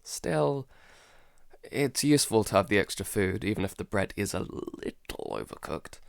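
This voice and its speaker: Male actor as anxious, sleepless shut-in for audio drama diary; low creaky voice, halting, sighing, very dry close sound. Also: very animated, no echo. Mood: subdued, uneasy. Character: Jason, anxious, sleepless man barricaded at home, keeping a diary. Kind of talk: audio drama, first-person diary monologue.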